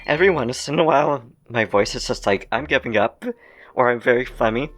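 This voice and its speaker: A man's voice: medium pitch, a little fast, a bit bright. Rambling young man narrating his gameplay. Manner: rambling, self-correcting, laughs often. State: slightly phlegmy voice. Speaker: let's play gamer. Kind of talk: casual gameplay commentary. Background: faint game music.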